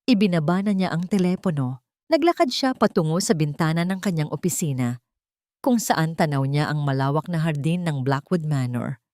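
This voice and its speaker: A Filipino woman narrates a scripted romantic drama. Her voice sits on the low side for a woman, and the sound is dry, with hardly any room around it. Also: animated, normal speed, darkish tone, no room sound. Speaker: story narrator.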